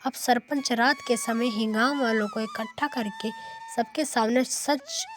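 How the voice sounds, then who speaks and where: A woman's voice: on the high side, sing-song, unhurried, noisy background. A woman narrating a scripted moral story as a storyteller. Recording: music bed behind the voice. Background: prominent background music.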